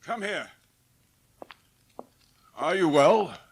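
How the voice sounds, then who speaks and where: A man playing a troubled, awkward gentleman uncle in a dramatised audiobook, speaking in terse, stiff phrases. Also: on the high side, big pitch swings, extremely slow, husky, exaggerated voice. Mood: stiff concern.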